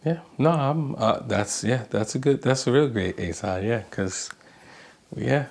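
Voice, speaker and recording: A man in his thirties co-hosting a marriage podcast in casual talk, halting and restarting as he searches for words. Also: medium pitch, gravelly, a little mellow. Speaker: podcast co-host, relationship coach. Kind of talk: casual conversational podcast chat.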